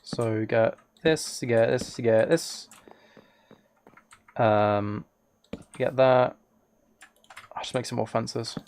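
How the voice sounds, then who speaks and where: A man's voice: medium pitch, steady tone, takes it slowly, long pauses, darkish tone, slight background noise. A man live streaming a game, rambling and thinking aloud as he chats casually with viewers. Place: indoors at a computer, keyboard clicks behind.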